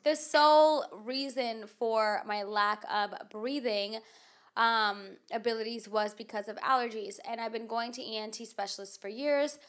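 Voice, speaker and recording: A woman's voice: on the high side, a little slow, a bit bright. A woman hosting a podcast in casual chat, with a nasal voice and a rambling, filler-heavy way of talking. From United States. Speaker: podcast host.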